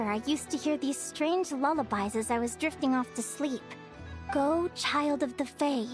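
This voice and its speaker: A woman's voice: high voice, natural voice. A woman playing an earnest, bookish young fae naturalist who tells her story in an articulate, thoughtful way, as video game dialogue. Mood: wistful.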